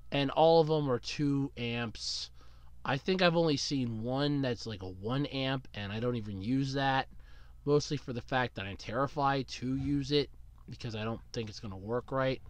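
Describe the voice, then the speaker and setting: A man hosting a solo podcast, rambling casually about his week, with big swings up and down in pitch and still getting over a cold. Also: fairly bright tone, clean tone, no room sound. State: recovering from a cold. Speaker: podcast host.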